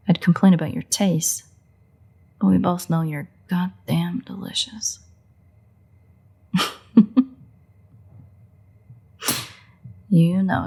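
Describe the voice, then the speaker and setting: Woman playing a low, creaky, teasing vampire woman. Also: darkish tone. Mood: flirtatious, playful. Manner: teasing, sarcastic, flirtatious, swearing. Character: playful, sardonic, free-spirited female vampire, teasing and insatiable. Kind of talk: intimate audio roleplay acting.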